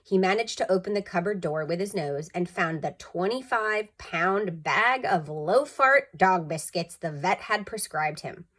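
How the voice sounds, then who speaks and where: A woman's voice: on the low side, fairly bright tone. A woman narrating a children's story expressively, with dramatic emphasis, like a school storytime reader.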